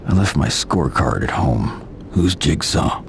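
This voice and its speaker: Very deep, gravelly, grim vigilante. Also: male voice, unhurried, slight background noise, full character voice. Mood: cold, demanding. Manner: terse, deadpan. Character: grim, curt, gravel-voiced male vigilante antihero. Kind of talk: video game cutscene acting.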